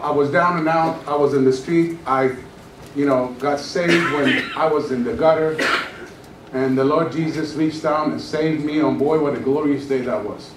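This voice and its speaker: A man's voice: on the high side, slight background noise. A man in his sixties from Iran, a pastor giving a joking testimony sermon on a church stage with a handheld microphone. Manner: storytelling, joking, animated gestures while pacing the stage. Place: church stage, large room. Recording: echoey church hall from far off.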